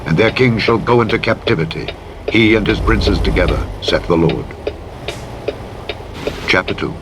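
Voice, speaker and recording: A man narrating the Bible in a solemn, dramatic, measured and declamatory style. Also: fairly low pitch, expressive. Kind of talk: solemn dramatic scripture reading. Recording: engine and vehicle noise over playback. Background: truck engine and road noise from a driving game.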